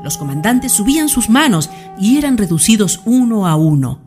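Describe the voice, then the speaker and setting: A woman's voice: mid-range voice, sing-song, moderate pace, darkish tone, soft background music. A woman with a Chilean accent reading scripted dramatic narration for a historical radio drama.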